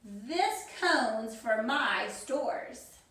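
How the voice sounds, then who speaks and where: A woman voicing a greedy, excitable male squirrel from a picture book, in an exclamatory, dramatic way. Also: fairly high pitch, very slow, pitched below the performer's own voice, heavily acted. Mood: competitive, desperate. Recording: very echoey, reverberant room.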